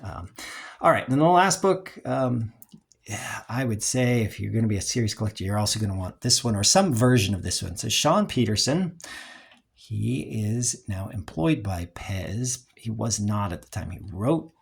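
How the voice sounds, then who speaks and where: A man's voice: on the low side, sing-song, normal speed, vocal fry, husky. A man giving a casual, unscripted review in a rambling, hesitant way, as the host of a collecting video.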